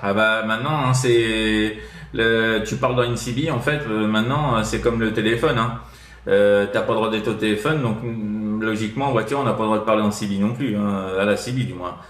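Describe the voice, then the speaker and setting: A man's voice: on the low side, fairly even intonation, brisk, fairly bright tone, reverberant, a little hiss or hum. A man chatting casually as a radio operator, hesitant and repetitive, often tagging his phrases with small filler words.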